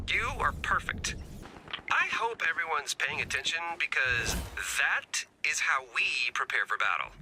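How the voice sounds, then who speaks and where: An actor playing a wry, excitable resistance technician in a video game, chatty and sardonic with a flair for drama. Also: male voice, mid-range voice, noisy background, natural voice.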